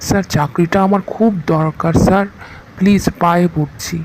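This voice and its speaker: A man's voice: sits high, steady tone, normal speed, background noise. A man playing a desperate, humble job seeker in a cartoon story, pleading and deferential.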